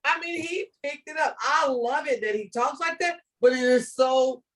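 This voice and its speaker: An older woman from the southern United States with a Southern accent, a teacher talking to students on a video call in a lively, storytelling and encouraging manner. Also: high-pitched, moderate pace, far from the mic. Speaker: ESL teacher guest. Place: home room with bookshelves.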